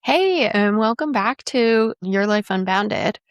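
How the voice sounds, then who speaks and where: A woman's voice: medium pitch, lively intonation, takes it slowly, smooth, no room sound, studio quality, soft background music. A woman in her thirties hosting a solo podcast as a career coach, talking in a reflective, explanatory way.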